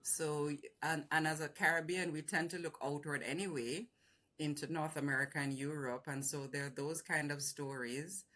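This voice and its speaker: A woman's voice: deep voice, fairly even intonation, moderate pace, a bit bright. A woman with a Jamaican accent, chatting effusively as a film programmer over a video call, laughing often. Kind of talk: casual video-call chat.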